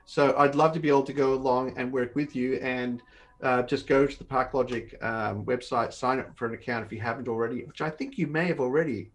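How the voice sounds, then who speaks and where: Webinar host, male, 50s, mid-pitched, conversational explainer who repeats words as he goes, on a video call. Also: fairly even intonation, a little fast. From born in Australia, grew up in northern Canada. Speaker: company host of an online Q&A session. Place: home office, indoors.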